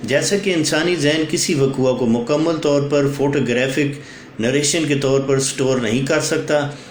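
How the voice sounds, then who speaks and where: A Pakistani man teaches in a formal, explanatory way, with a mid-pitched voice. He drops English legal terms into his sentences as an instructor would. The room rings with echo and there is faint noise behind him. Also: a little slow.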